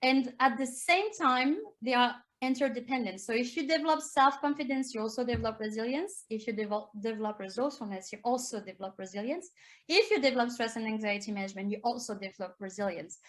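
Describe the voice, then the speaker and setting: French-accented woman teacher, earnest presenter, slightly high voice. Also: fast talker, a bit bright. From France. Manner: earnest, explanatory, rises in pitch to stress key points. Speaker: primary school teacher. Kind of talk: conference talk with slides.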